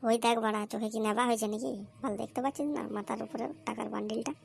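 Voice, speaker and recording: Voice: medium pitch, fairly even intonation, racing, creaky, husky. A performer voicing a crude, cocky young male slacker with slangy, foul-mouthed banter in a comedy cartoon. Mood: scheming. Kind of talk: amateur comic cartoon dubbing. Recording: slightly noisy amateur cartoon dub.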